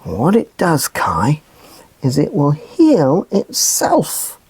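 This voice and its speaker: A woman playing a gentle, caring adult in a children's puppet show, soothing a child with simple explanations. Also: low voice, wildly swooping pitch, very slow, husky, no echo, full character voice. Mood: gentle, instructive.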